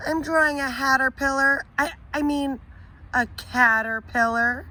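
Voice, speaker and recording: A woman's voice: sits high, steady tone, unhurried, bright, forceful tone. A woman reading aloud, voicing a little girl in a storybook who longs for a fancy hat. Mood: eager.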